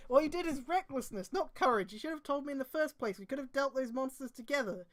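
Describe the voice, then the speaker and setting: A woman's voice: high-pitched, expressive, fast, voice pushed up. A woman voicing a stern, demanding veteran female ninja from a fan story, scolding harshly.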